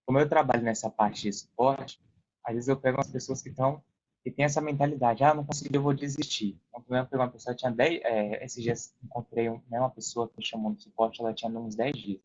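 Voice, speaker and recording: A man's voice: medium pitch, fairly even intonation, fast, darkish tone, slight background noise. Young Brazilian man, slightly muffled sound. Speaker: podcast guest, online affiliate marketer working in support. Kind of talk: casual interview talk.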